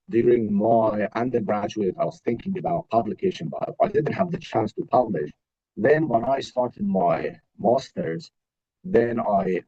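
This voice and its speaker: Male academic presenter, Afghan accent, mellow and husky, lively intonation, hesitant delivery with repeated words, muffled webinar sound. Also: medium pitch. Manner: halting, searching for words, repeating himself. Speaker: PhD researcher and university teacher presenting. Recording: dull, roomy webinar audio.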